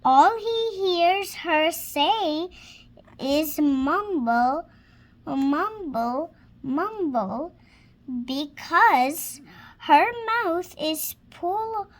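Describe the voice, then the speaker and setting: High-voiced little girl reading very slowly. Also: animated. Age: child, about 6 years old. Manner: slow, word by word, stumbling and self-correcting. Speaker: young reader practising reading aloud. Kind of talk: halting read-aloud of a children's storybook.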